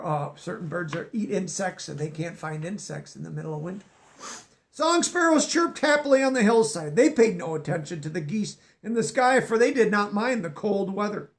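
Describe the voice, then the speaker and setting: A man reading a children's picture book aloud, stopping to explain words in a teacherly way. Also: high-pitched. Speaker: storybook reader.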